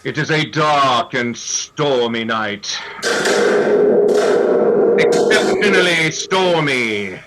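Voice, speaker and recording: A man's voice: mid-range voice, expressive, very slow, pauses often, very noisy. A man playing a grandiose old-time radio announcer who narrates a murder mystery in a melodramatic, theatrical manner. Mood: grandiose, ominous. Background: musical underscore.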